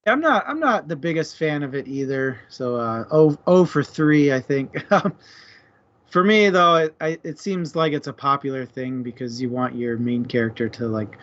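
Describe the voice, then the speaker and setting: Male discussion host, mid-range voice, informal and conversational, dull low-fidelity recording. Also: animated, moderate pace, fairly bright tone. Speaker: host of a book discussion stream. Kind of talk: casual discussion.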